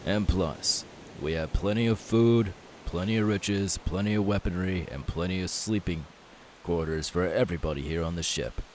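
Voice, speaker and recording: A man playing a confident, friendly young pirate captain in an ASMR audio roleplay, easygoing, unhurried and reassuring. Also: deep voice, lightly acted. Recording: steady background hiss.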